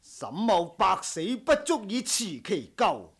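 A man's voice: sits high, sing-song, slow pace, fairly bright tone, breathy edge, full character voice. A man acting a proud, honourable martial-arts household master in a Cantonese radio drama, speaking in formal, stern, old-fashioned heroic language. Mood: defiant, composed.

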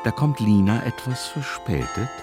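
An actor playing the scene-setting narrator of a period radio drama, with a scripted storytelling delivery. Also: male voice, medium pitch, normal speed, over loud music, lightly acted. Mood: measured, narrative.

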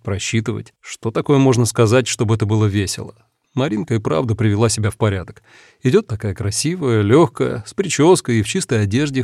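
A man narrating a first-person story in a calm, reflective, measured way. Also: mid-range voice, gravelly, no room sound, studio quality. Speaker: audiobook narrator.

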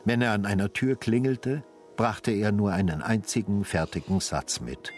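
A man narrating a literary radio drama in a sombre, measured and grave style. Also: fairly low pitch, a little mellow, faint music behind.

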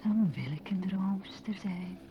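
Actress as troubled wife, muffled recording. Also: female voice, mid-range voice, slow, natural voice. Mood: bitter. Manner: confessional, introspective. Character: troubled, introspective wife laying bare her unease. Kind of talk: radio drama monologue. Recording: dull radio play, faint noise.

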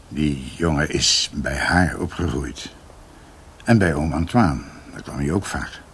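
A deep-voiced older doctor reminisces in a radio play. He speaks slowly and haltingly, with emphatic, animated turns of pitch and thoughtful pauses. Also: slight background noise, exaggerated voice. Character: older doctor recounting family history to his niece. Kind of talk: radio drama acting, conversational storytelling.

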